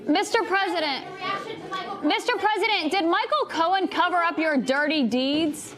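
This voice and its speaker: A woman's voice: very high voice, moderate pace. A woman reporter insistently calling out questions at a press event. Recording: press crowd noise, distant clip.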